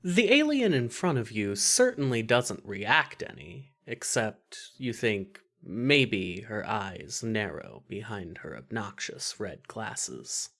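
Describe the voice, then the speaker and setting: A woman reading scripted second-person fan fiction narration in an expressive, wry way. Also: very deep voice, slow, hardly any pauses.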